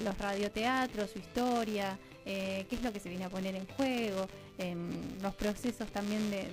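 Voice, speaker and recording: Woman, Argentine Rioplatense accent, interview guest, discursive, conversational, long chained sentences, middle pitch, background music. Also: rough recording. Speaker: interview guest, radio drama producer. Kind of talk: conversational explanation in a radio interview. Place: radio studio.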